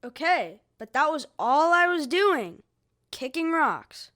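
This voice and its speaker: Woman doing nervous 12 year old kid, high voice, slow hesitant talk, defensive, audio drama. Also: big pitch swings, a bit bright, heavily acted.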